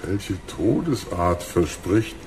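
Slow, sadistic old villain. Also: male voice, low voice, lively intonation, noisy background. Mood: gloating, musing. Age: older. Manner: gloating, savoring his cruel words. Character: sadistic, ice-cold, decrepit old male villain. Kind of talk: German radio drama acting.

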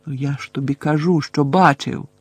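A man voicing an angry, impatient teenage boy wizard in an audiobook, snappish and loud. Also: a little high, steady tone, moderate pace, husky, dry recording. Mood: frantic, impatient. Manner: shouting, snappish.

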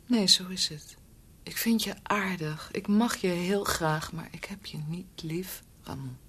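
An actress playing a gentle young woman in a Dutch radio drama, speaking earnestly and emotionally, often breathy. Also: on the low side, a little slow, a little hiss or hum. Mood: anguished, apologetic. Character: gentle young woman, devoted niece of a doctor.

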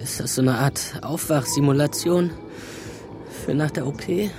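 A man's voice: on the high side, normal speed, music in the background, natural voice. A young man playing a confused, sarcastic schoolboy who has woken in a virtual world, in a radio drama.

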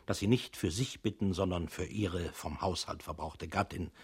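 A sober male narrator in a scripted radio play. His voice is very deep and rough-edged, recounting things formally in measured phrases. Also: heavily acted. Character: sober male narrator addressing a weary employee.

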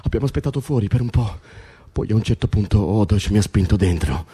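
An actor playing an excitable, wry political prisoner in an Italian radio drama, stammering, repeating himself and swearing. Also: male voice, on the low side, fast, breathy edge. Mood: tense storytelling. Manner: breathless repetitions, stammering, swearing. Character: excitable male political prisoner, agitated, wry, foul-mouthed. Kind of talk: Italian radio drama acting, conversational and intense.

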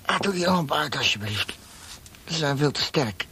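An actor in a radio drama playing a frightened young prisoner, pleading in a trembling, halting voice. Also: male voice, on the high side, lively intonation, background noise, close to an everyday voice.

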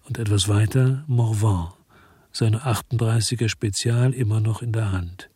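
A man's voice: on the low side, unhurried, darkish tone, breathy edge, no room sound. A weary, hard-boiled man tells his story. The delivery is terse and laconic, recorded close and dry like noir radio drama. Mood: grim, reflective. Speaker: first-person narrator and lead actor. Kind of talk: German radio drama narration and dialogue.

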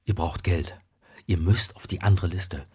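An actor playing a menacing, lovesick extortionist in a radio drama, muttering insistently in halting, repeated phrases. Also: male voice, deep voice, dark tone, husky, full character voice. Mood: ominous, pressing. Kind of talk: stylized radio drama monologue and dialogue. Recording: music behind the voice. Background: music.

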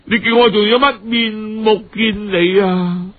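A man's voice: high-pitched, steady tone, phone-quality sound. A man voicing a despairing, remorseful husband's farewell letter in an audiobook, mournful and theatrical. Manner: slow, mournful, theatrical sighing delivery.

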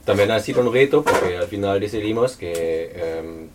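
Low-voiced non-native author, hesitant. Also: recorded from a distance, noisy background. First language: not Spanish. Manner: hesitant, thoughtful, many pauses and fillers. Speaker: author presenting a book. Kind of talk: book launch talk. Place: local venue at a public presentation.

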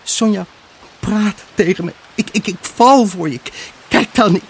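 An actor playing a lonely, grieving husband in an intimate radio drama monologue, brooding, halting and emotionally raw. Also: male voice, high-pitched, breathy edge, exaggerated voice. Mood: desperate, pleading. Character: grieving, haunted husband, lonely, obsessive and pleading. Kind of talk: Dutch radio drama monologue, intimate first-person narration.

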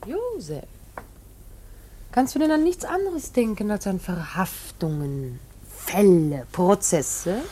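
Slow, domineering seductress. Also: female voice, medium pitch, animated, breathy edge. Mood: scolding, commanding. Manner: slow coaxing whispers, sharp commands. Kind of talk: German radio play acting.

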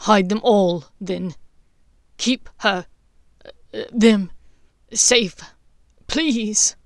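A desperate young wizard stammers and begs, his words tumbling out in loud, anguished bursts, as a woman reads him. The voice is strained and pleading, recorded close and dry. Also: fairly high pitch, takes it slowly, no room sound. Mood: frantic pleading.

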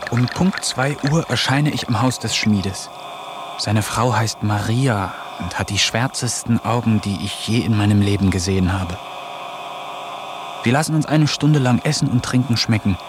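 A man's voice: medium pitch, noisy background, natural voice. An actor narrating his diary in a radio drama as its lonely protagonist, in a wry, melancholic way.

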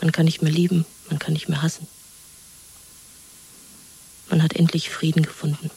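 A hollowed-out woman after torture in a radio drama. Her voice is very husky and breathy, with a creaky, muted tone. She speaks in detached, resigned statements. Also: low voice, flat delivery, normal speed, warm tone, full character voice, rough recording. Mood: numb, resigned. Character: broken, emptied-out woman released after interrogation and torture. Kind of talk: 1970s radio drama acting.

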